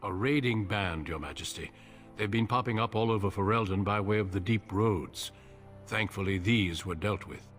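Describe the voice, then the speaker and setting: A solemn veteran warrior from a fantasy game, played by a man, with a low voice and a measured, formal delivery. Faint music plays behind him. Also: a bit bright, close to an everyday voice. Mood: formal, respectful. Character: grave, measured veteran warrior, deferential to his king. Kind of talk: fantasy video game acting.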